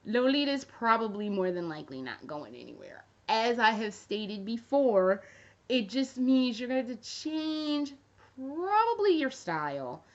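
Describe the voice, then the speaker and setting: A woman's voice: on the high side, very animated, unhurried. A woman in her thirties chatting casually to camera as a fashion vlogger, rambling and swearing freely with jokey asides. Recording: dull lo-fi recording.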